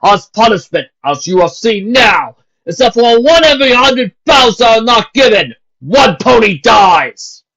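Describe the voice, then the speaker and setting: A man voicing a cruel male pony legion captain who booms commands slowly and theatrically. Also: very animated, a bit bright, breathy edge, full character voice. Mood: commanding. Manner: booming, commanding, slow and theatrical. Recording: dull lo-fi home recording.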